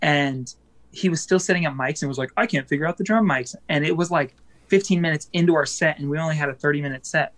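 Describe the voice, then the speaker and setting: A man, a band musician, chatting casually as a guest in an interview, rambling with plenty of fillers and quick laughs. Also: on the high side, a bit bright.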